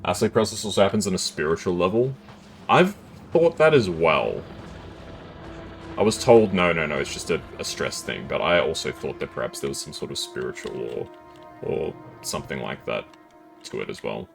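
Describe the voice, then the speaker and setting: A young man with a very low, deep voice talks through his gameplay in a relaxed, chatty way, his pitch swinging widely between low murmurs and lively jumps. Soft background music plays faintly behind him. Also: moderate pace, vocal fry. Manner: relaxed, chatty, thinks aloud while reading chat. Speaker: game streamer. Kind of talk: casual gameplay chat with viewers.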